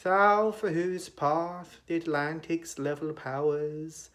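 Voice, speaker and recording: A man giving a dramatic recitation of poetry in a declamatory manner, with long pauses between phrases. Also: a little high, little pitch movement, fairly bright tone. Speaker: poetry reader.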